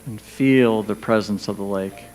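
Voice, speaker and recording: A man leading a guided meditation, speaking gently and soothingly in short, spaced phrases with pauses. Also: on the low side, fairly even intonation, slight background noise, rough recording. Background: background music.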